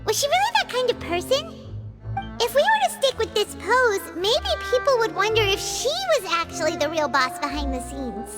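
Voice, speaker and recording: A woman voicing a tiny, childlike, excitable fairy companion in a video game, with a squeaky, exclamatory delivery. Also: normal speed, loud music behind the voice. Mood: puzzled, doubtful. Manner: refers to self in third person, exclamatory.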